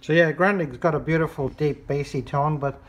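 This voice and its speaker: Casual radio reviewer, measured explanatory delivery, hands-on demo, background noise. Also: medium pitch. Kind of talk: casual hands-on product demonstration.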